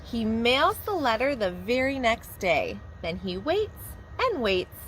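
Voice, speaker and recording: A woman preschool teacher reading a picture book aloud to young children in a warm, expressive storytelling manner. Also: a little high, slow, slight background noise, rough recording.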